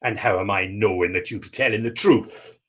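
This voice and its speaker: A man playing a battered, pious and self-mocking fisherman in readers theater, telling his story in halting, folksy dialect. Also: on the low side, fairly bright tone, breathy edge. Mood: wry. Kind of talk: readers theater dramatic dialogue.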